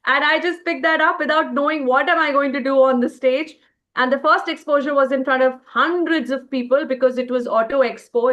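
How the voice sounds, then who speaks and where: A woman in her forties from India, hosting with a voice slightly above middle pitch. She turns often to the audience with questions and shares her own stage memories. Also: a bit bright. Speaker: host. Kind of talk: hosting an online speaking session.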